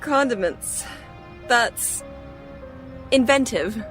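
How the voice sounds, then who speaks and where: An actress playing a sardonic police captain in an audio drama, speaking with dry sarcasm. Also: female voice, fairly high pitch, expressive, very slow, a bit bright, soft background music, exaggerated voice. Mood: amused, dry.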